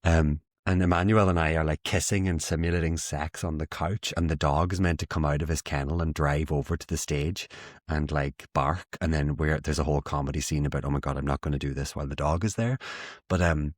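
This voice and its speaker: Deep-voiced Irish man telling lively stories. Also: a little fast, dry recording, studio quality. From Ireland. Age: young adult. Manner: animated storyteller, laughs often, acts out quoted lines. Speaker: guest theatre maker. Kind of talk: casual interview anecdotes.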